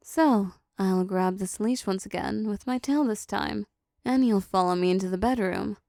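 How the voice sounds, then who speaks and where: Woman acting a slow, creaky-voiced seductive demoness. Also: mid-range voice, mellow, no room sound, clean studio sound. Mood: flirty, coaxing. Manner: slow, teasing, dominant, doting. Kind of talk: intimate roleplay audio acting.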